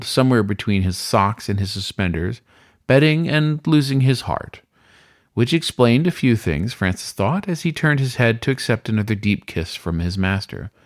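A man's voice: fairly low pitch, no echo. Dry, close-recorded male story narrator. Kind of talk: scripted dramatic story narration.

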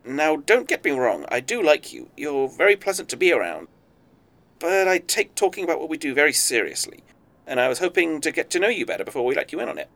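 An actor playing a polite, guarded spy boss with veiled menace in an audio drama, speaking formally and with measured courtesy. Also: male voice, on the high side, very animated, fairly bright tone. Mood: guarded, courteous.

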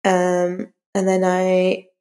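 A woman's voice: lowish voice, monotone, very slow, dark tone. A woman novelist being interviewed, explaining her craft in an informal, conversational way.

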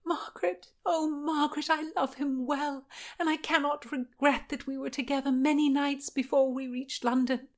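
A woman voicing a frightened yet defiant, passionate young pregnant noblewoman in a period audiobook, pleading and at times breathy. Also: high-pitched, steady tone, normal speed, pitched above the performer's own voice. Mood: desperate.

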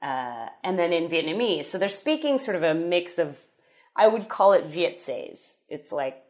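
Low-voiced woman telling a rambling story. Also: sing-song, unhurried. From United States. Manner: rambling, self-correcting storyteller. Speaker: podcast guest. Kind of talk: casual podcast chat.

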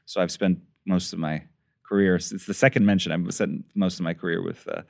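Man, 30s, from Iran, deep voice, dead-dry sound, measured guest talk. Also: steady tone, vocal fry, no room sound. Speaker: podcast guest, newspaper editor. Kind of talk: casual interview talk.

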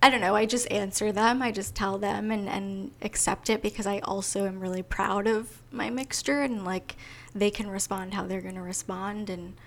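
A woman's voice: mid-range voice, fairly even intonation, moderate pace, vocal fry. Thoughtful woman who laughs easily. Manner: thoughtful, laughs often, many fillers. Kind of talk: casual chat.

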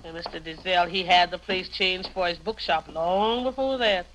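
An actress playing a hospitable, chatty American housekeeper with homely speech in an old radio drama. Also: female voice, on the low side, normal speed, fairly bright tone, lightly acted. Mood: cheerful, hospitable. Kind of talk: 1950 radio acting. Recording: noisy old 1950 radio recording.

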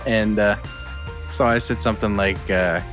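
A man co-hosting a casual podcast, telling a story with lots of fillers and laughter, sometimes dropping to a hushed voice. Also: fairly low pitch, fairly even intonation, moderate pace, faint music behind. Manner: laughs often, rambling with many fillers, drops to hushed tones. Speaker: podcast co-host.

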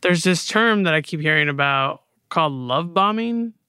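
A podcast co-host chatting casually in a halting, playful way. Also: mid-range voice, lively intonation, sharp tone, smooth, dry recording, studio quality. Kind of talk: casual chat.

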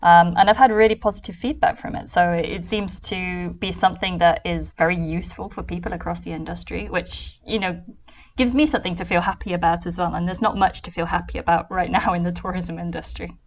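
A British woman from the UK, a travel consultant answering interview questions thoughtfully with many pauses and restarts. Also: lowish voice, fairly even intonation, lo-fi sound.

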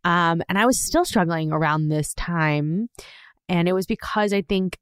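Chatty woman with a low voice. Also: measured pace, clear, resonant tone, no echo, clean studio sound. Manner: chatty, laughs often, many fillers. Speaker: podcast co-host. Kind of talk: casual rewatch podcast chat.

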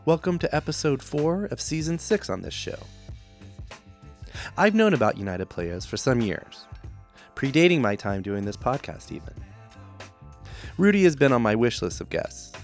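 Gravelly-voiced American man hosting a podcast. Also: mid-range voice. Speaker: podcast host.

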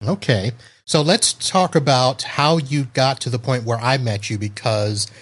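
A man's voice: mid-range voice, measured pace, a bit bright, breathy edge. A man from Florida hosting a podcast over a video call, chatting casually, joking and laughing often. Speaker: podcast host. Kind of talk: casual interview chat.

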